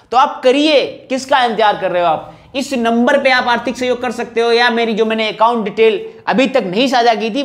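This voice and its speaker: A man speaking insistently in an informal update to exam candidates, like a coaching teacher appealing to students. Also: very high-pitched. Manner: insistent, repeating rhetorical questions and appeals.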